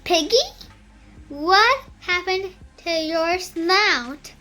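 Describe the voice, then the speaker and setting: A child reading a picture book aloud in a careful, halting beginner's way, with music behind. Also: very high voice, sharp tone.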